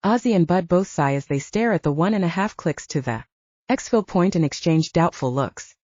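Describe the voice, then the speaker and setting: Female audiobook narrator, dramatic scripted fiction, low-pitched, mellow, dry muffled recording. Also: animated, normal speed, no room sound.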